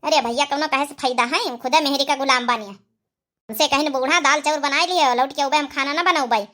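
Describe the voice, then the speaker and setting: A woman's voice: high voice, a little fast, pushed and bright. A woman voicing a weary old village mother-in-law in a comic cartoon, complaining and muttering with an Awadhi accent. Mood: resigned, bitter.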